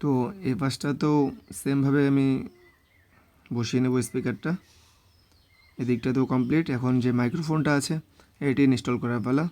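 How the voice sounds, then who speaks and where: A man's voice: medium pitch, fairly even intonation, normal speed, pauses often, a little mellow. A man narrating a vlog voice-over, mixing in English words. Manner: mixes English words into speech. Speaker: motorbike vlogger.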